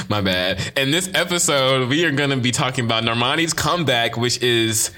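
A man's voice: mid-range voice, animated, moderate pace, bright, forceful tone. A young man with a slight lisp hosting his own podcast, talking in a sassy, emphatic way and repeating his catchphrases. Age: young adult. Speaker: podcast host. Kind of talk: casual solo podcast intro.

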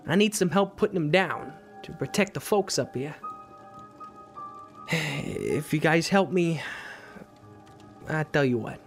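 A man playing a troubled, stubborn police detective in an audio drama, halting and repeating himself between sighs. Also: a little high, expressive, soft background music. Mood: uneasy, weary.

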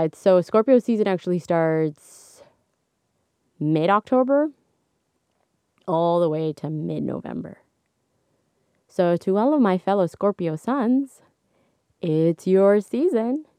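Young woman podcasting solo, kinda low voice, little hoarse, laughs a lot, dry close mic. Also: no echo. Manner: chatty, laughs often, animated swings in pitch. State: slightly hoarse from screaming. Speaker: podcast host. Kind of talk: casual solo podcast talk. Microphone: studio microphone.

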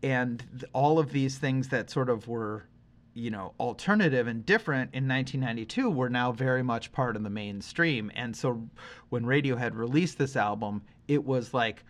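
A man's voice: mid-range voice, moderate pace. A man in his forties co-hosting a podcast, talking about music in a casual, rambling, emphatic and opinionated way. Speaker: podcast host. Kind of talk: casual conversational music discussion.

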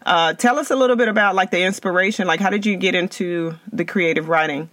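A man's voice: high voice, fast, bright, forceful tone, no echo. A man hosting a podcast interview, upbeat and chatty, often restarting his questions. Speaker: podcast host. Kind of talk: casual interview.

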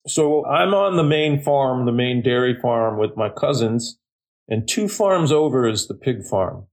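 A middle-aged man from Japan telling a personal ghost story in casual, halting speech with many fillers and restarts. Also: mid-range voice, darkish tone.

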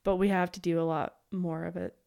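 A woman in her late twenties, a podcast guest, chatting in an animated way with quoted asides. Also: lowish voice, brisk, vocal fry, clean tone. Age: late 20s. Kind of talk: casual chat.

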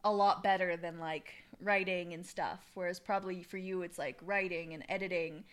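A woman's voice: lowish voice, normal speed, clean tone. A young Canadian woman co-hosting a podcast, chatting casually and laughing often as she tells stories. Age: young adult. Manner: laughs often, animated storytelling. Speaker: podcast co-host. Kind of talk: casual chat.

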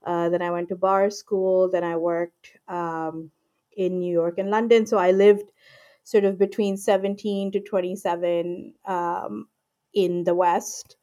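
A woman in her forties from Pakistan, calmly explaining her work as a guest in an interview with frequent ums and you-knows. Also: fairly low pitch, measured pace. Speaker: guest, IP lawyer. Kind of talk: casual interview talk.

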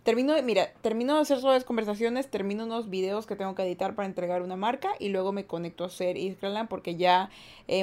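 A woman's voice: mid-range voice, darkish tone. A young woman from Guayaquil with an Ecuadorian accent, hosting a casual livestream podcast, chatty and upbeat as she reads the chat. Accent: Ecuadorian Spanish, Guayaquil. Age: young adult. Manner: chatty, upbeat, playful asides. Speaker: streamer and podcast host. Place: indoors at home, daylight room.

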